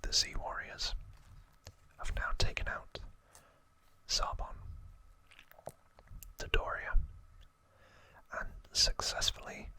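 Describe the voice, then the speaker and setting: Male ASMR host, soft whisper, very bright tone, slow short phrases, unhurried. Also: low-quality recording. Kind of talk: whispered ASMR trivia narration.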